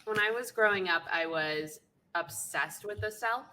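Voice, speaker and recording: A woman's voice: mid-range voice, measured pace, pushed and bright, a little hiss or hum. A young woman co-hosting a podcast, chatting candidly and wryly, with casual swearing. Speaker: podcast co-host. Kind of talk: casual chat.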